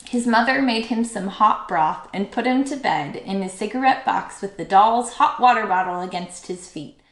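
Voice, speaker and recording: A woman's voice: medium pitch, normal speed, fairly bright tone, reverberant. A woman teacher reading a children's story aloud as narrator.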